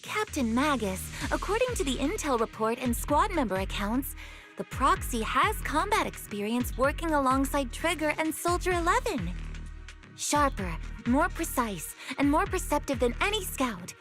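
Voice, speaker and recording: Game role: earnest military woman, high-pitched and expressive, businesslike, precise phrasing. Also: normal speed. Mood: businesslike, assured. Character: crisp, earnest female military squad officer. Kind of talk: video game voice acting. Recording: music behind the voice.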